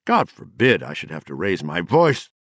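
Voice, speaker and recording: A man's voice: deep voice, huge pitch swings, normal speed, fairly bright tone, no echo, studio quality, full character voice. A man voicing a drunk, bitter and eloquent poet who rants mockingly with a rising voice. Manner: mocking, sardonic, raising his voice.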